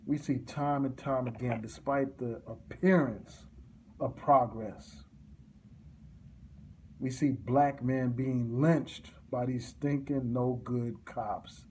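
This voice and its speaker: A middle-aged man delivering an impassioned political monologue to a phone camera in a small room, forceful and repetitive, with a preaching cadence. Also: mid-range voice, fairly even intonation, slow pace, slight background noise. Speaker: commentator.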